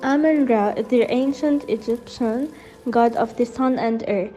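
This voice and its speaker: A woman's voice: fairly high pitch, a little slow, dark tone, dull audio, faint music behind. A woman reading scripted documentary narration, sometimes stumbling and repeating words as she reads.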